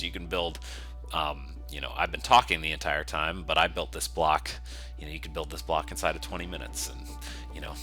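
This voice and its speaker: A man giving an informal, step-by-step software screencast tutorial, explaining conversationally as he works. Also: sits low.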